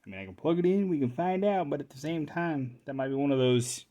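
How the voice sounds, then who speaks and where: A man's voice: on the high side, lively intonation, a little fast, warm tone. A man giving casual, unscripted commentary as a hobbyist repairer, thinking aloud to himself as he works. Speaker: hobbyist repairer presenting a video.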